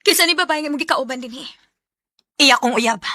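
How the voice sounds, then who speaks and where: High, bright young Cebuano girlfriend. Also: brisk, no room sound, clean studio sound. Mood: hurt, accusing. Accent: Cebuano Filipino. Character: emotional young girlfriend in a love triangle. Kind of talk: Bisaya radio drama acting.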